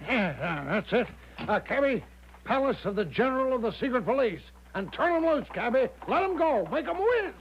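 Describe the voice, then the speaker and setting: An actor playing a blustering, cocksure, eccentric American major in a 1970s radio drama, fast-talking and hearty. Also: male voice, very high-pitched, very animated, exaggerated voice. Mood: urgent, hearty. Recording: dull, hissy 1970s radio recording.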